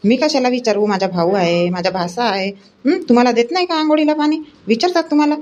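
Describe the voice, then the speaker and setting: Woman voicing a fast-talking village wife, highish voice. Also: darkish tone, lo-fi sound, lightly acted. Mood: scolding. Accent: rural Vidarbha Marathi. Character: sharp-tongued middle-aged nagging village wife. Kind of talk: homely cartoon comedy dialogue.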